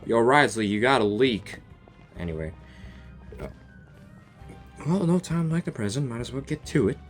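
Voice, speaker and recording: A man playing through a video game and reacting as he goes. His pitch moves about a lot, and he jokes freely and heckles the characters on screen, all in a loose, offhand style. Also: lively intonation, normal speed, soft background music. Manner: jokey, talks back to the characters, playful. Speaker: gamer reacting to a story quest. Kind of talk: casual gaming commentary.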